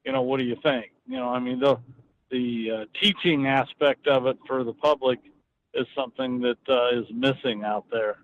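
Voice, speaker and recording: A man with a medium-pitched voice answers as a client in an unscripted phone conversation. He is measured and hesitant, working out his thoughts as he speaks. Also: steady tone, phone-quality sound. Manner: measured, hesitant, thinking aloud.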